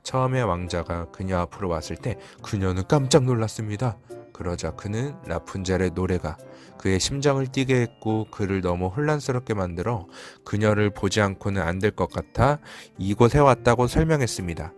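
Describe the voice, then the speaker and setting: A man with a fairly low voice narrates a storybook fairy tale from a script. Music plays clearly behind his reading. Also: lively intonation, normal speed, darkish tone.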